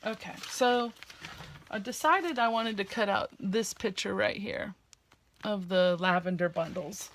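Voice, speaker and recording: A woman with a slight lisp and a loud, carrying voice, chatting casually and rambling a bit while she works on a craft project at home. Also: mid-range voice, a little slow, a little hiss or hum. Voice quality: loud voice that carries. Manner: chatty, rambling, with frequent fillers. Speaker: crafting vlogger.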